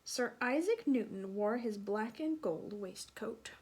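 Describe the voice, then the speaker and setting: A woman with a fairly high voice reads a children's storybook aloud. She is expressive, leaning into the sound words and speaking directly to her young listeners. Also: measured pace, slightly rough. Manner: expressive, with sound-effect emphasis and questions to listeners.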